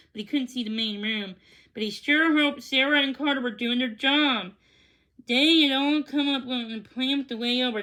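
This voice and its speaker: A woman reading a children's storybook aloud, in a gentle, measured way. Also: on the high side. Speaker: storybook reader. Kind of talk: children's read-along narration.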